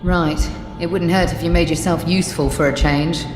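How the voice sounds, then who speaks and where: A woman's voice: low-pitched, fairly even intonation, normal speed, lightly acted. A woman playing a confident, wry treasure seeker in a video game, with a teasing, sardonic way of talking. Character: wry, confident female treasure-seeking adventurer. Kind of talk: video game character acting. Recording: reverberant hall with music behind.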